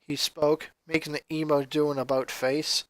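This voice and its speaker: A man's voice: a little high, flat delivery, moderate pace, fairly bright tone, no room sound. A man reading fan fiction as a dramatic, scripted story narration.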